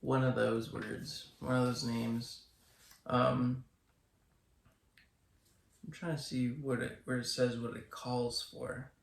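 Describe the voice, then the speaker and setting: A man's voice: medium pitch, little pitch movement, takes it slowly, creaky, pauses often, echoey room. A young man co-hosting a casual podcast, talking hesitantly with many restarts and fillers. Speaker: podcast co-host. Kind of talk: casual chat.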